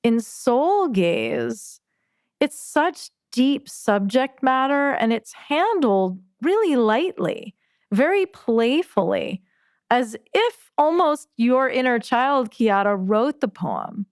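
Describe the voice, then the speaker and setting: A woman hosting a podcast, talking through poems in a slow, thoughtful, gentle way. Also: a little high, animated, dry recording, studio quality. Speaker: podcast host. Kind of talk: reflective conversation about poetry.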